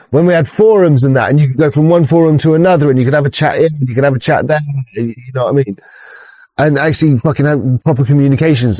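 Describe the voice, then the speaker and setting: A man's voice: medium pitch, dark tone, no echo. A man hosting a livestream in a casual, rambling monologue, swearing constantly and restarting his sentences. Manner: heavy swearing, frequent stammered restarts. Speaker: livestream host. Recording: slightly muffled dry mic.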